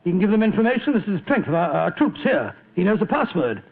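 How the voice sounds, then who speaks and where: Husky, high-pitched, flustered British sidekick. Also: male voice, very animated, fast, exaggerated voice. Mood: alarmed. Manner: blustering, stammering, exclamatory. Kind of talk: old-time radio drama acting. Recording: tinny, band-limited vintage radio recording.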